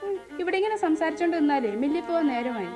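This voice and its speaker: An actress playing a young married daughter in a radio play, talking in a lively, teasing way. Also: female voice, high voice, fast talker, over music. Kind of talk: 1970s radio drama acting.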